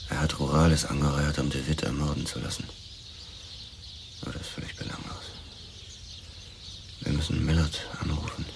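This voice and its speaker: An actor playing a bitter detective, speaking tersely and in a hushed way in a radio drama. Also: male voice, extremely low pitch, flat delivery, moderate pace, creaky, pauses often, a little hiss or hum. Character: bitter, hushed male police detective in confrontation. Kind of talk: intimate radio drama dialogue.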